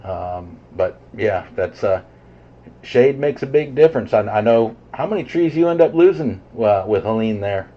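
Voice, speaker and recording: A man chatting casually over CB radio in a halting, low-key way. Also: lively intonation. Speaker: CB radio operator. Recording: dull, muffled CB radio signal.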